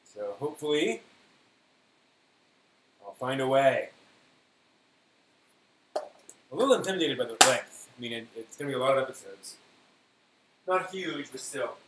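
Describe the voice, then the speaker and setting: Male live stream host, offhand and conversational, medium pitch, recorded in an echoey room. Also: animated, measured pace, pauses often. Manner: offhand, thinking aloud. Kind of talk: casual live stream chat. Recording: roomy echo, faint hiss.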